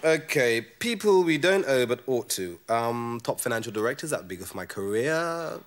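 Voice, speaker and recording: A man's voice: mid-range voice, expressive, moderate pace, slight background noise, close to an everyday voice. An actor playing a wry, joking young engaged man.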